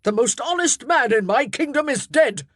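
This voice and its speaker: A woman's voice: fairly low pitch, lively intonation, unhurried, dry recording, studio quality. A woman voicing a wrathful king in his forties. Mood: furious. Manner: loud, commanding and wrathful. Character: fierce grieving man, a king in his forties.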